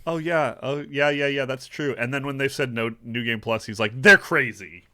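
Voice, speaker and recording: A man with a bright, forward tone jokes around on a podcast, ribbing his co-hosts. His pitch sits in the middle range. The sound is close and dry. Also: normal speed, no room sound. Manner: joking, ribbing the others. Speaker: podcast co-host. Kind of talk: casual podcast banter.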